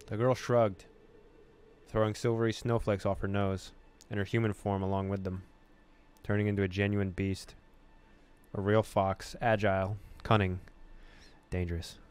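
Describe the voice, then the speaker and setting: Low, clear-voiced man reading a story game. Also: flat delivery, normal speed. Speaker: gaming video host. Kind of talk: reading game text aloud with casual reactions.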